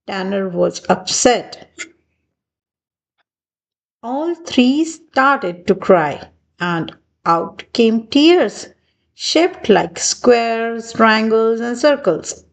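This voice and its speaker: Very slow, animated woman storybook reader. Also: a little high. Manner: slow, deliberate, expressive phrasing. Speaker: children's book reader. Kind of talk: read-aloud storybook narration for young children.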